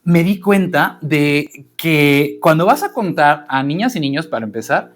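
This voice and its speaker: A man, a professional storyteller chatting as a guest, animated and musing. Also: on the high side, unhurried. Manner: animated, musing, trails off and restarts. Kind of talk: casual interview chat.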